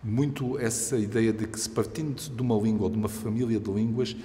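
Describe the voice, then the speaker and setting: Male interviewee, mellow and lowish, thoughtful delivery, long room reverb. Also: fairly even intonation, fast. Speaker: language education specialist. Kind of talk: reflective spoken answer.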